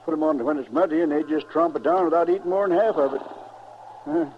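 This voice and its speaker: Male actor as hardy old sheep farmer, folksy Western radio drama, higher register, rural American twang, faintly noisy vintage audio. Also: fast, lo-fi sound, lightly acted. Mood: folksy, hearty. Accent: rural American West.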